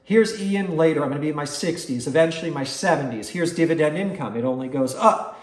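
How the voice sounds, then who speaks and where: A man's voice: on the high side, fast talker. A man in his forties explaining things to viewers as a host, in an emphatic manner, repeating words for stress. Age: 40s. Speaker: host of an investing channel. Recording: roomy, echoing room sound.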